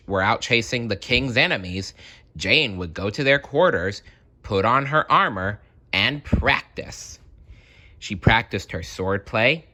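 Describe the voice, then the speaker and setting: Bright-voiced man reading a storybook, unhurried. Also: lowish voice. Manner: expressive, deliberate, with chatty reactions to the story. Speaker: storybook reader. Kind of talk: read-aloud children's story with casual asides.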